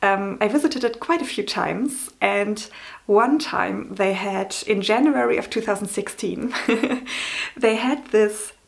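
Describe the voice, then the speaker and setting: Chatty German-accented woman with gravelly edge. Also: medium pitch, slow pace. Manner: chatty, apologetic, many ums and small laughs. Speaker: vlogger. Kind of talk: casual talk to camera.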